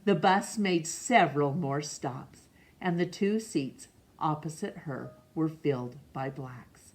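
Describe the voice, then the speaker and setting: A middle-aged woman reading a picture book aloud in a measured, expressive way for children. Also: sits low. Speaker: storytime reader. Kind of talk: read-aloud storybook narration. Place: small room indoors.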